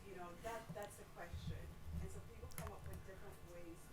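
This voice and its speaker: A woman with a loud voice asking a panel a long, earnest question from the audience. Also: medium pitch, fairly even intonation, a little fast, heavy background noise.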